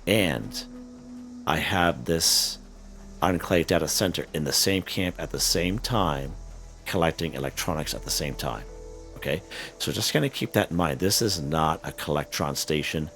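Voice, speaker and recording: A man with a hoarse, worn voice, casually talking viewers through a game, full of fillers and "okay?" check-ins. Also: very deep voice, fairly bright tone, slight background noise. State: hoarse, losing his voice. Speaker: gaming channel host. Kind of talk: casual gameplay walkthrough commentary.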